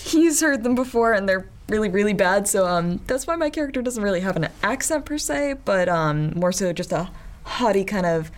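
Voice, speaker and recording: A woman's voice: medium pitch, expressive, moderate pace. A woman chatting as a tabletop game player, giggly and self-deprecating. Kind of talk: casual tabletop game chat.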